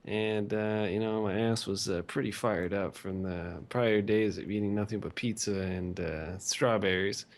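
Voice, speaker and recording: A man casually telling crude, vulgar stories in a halting, repetitive, filler-heavy way. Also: on the low side, fairly even intonation. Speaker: co-host of a visual novel rant show. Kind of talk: casual rambling crude storytelling.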